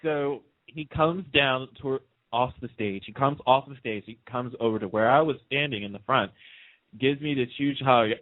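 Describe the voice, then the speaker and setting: A man who works as a radio host, chatting casually and telling fan anecdotes in an excited, dramatic way. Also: medium pitch, normal speed, no room sound, narrow, tinny audio.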